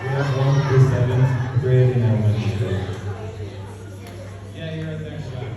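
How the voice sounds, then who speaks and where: A young man from Norway in his late teens, speaking English with a Norwegian accent in a relaxed, hesitant way, with many "uh" and "um" pauses, giving a presentation and answering questions in a school assembly hall. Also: medium pitch, a crowd in the background. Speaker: visiting exchange student presenter. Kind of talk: casual presentation and Q&A. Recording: echoey hall from far off, dull and noisy.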